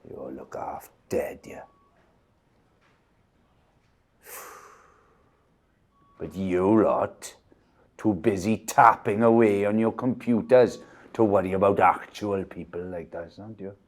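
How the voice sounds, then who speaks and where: A cantankerous old British war veteran who grumbles and swears. His low voice swings widely in pitch while he takes his words slowly. He sounds indignant and proud. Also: animated, dark tone, breathy edge. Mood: ranting. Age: older. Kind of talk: film drama acting.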